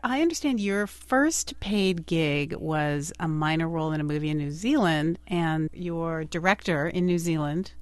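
A woman hosts a public radio interview in a lowish voice. She is easygoing and laughs along with her guest. The mic is close and the sound is dry. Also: measured pace, few pauses, no room sound. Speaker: radio host. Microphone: studio microphone.

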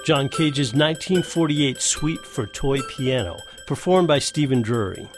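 A male radio presenter delivers scripted narration with credits and introductions. His pitch sits in the middle of the male range, and faint music plays underneath. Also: expressive, unhurried.

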